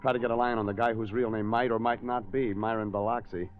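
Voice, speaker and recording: An actor playing a wry American private detective, terse and deadpan, in a scripted radio drama. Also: male voice, fairly low pitch, fast, faint music behind, close to an everyday voice. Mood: brisk narration. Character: wry, hardboiled American private detective narrating his case. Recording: tinny old radio broadcast recording.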